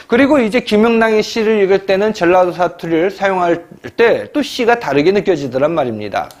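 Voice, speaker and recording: A middle-aged man giving a Korean exam-prep lecture, emphatic and scolding, repeating phrases and often clearing his throat. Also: high-pitched, animated, fairly quick.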